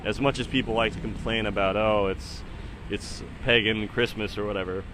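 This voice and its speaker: A man's voice: on the low side, fairly even intonation, normal speed, a bit bright, a little hiss or hum, rough recording. A man in his thirties giving casual, rambling spoken commentary in a hesitant, musing way that often trails off. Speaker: solo podcast host.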